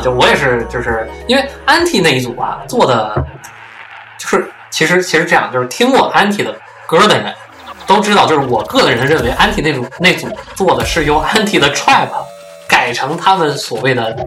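Emphatic higher-pitched man over music. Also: big pitch swings, fairly quick, echoey room. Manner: emphatic, repetitive, blunt. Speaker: podcast co-host. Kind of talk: casual chat.

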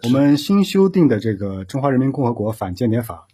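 Very husky male expert guest. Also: medium pitch, sing-song, a little fast, mellow. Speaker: police officer as expert guest. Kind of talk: informal explanatory interview answers.

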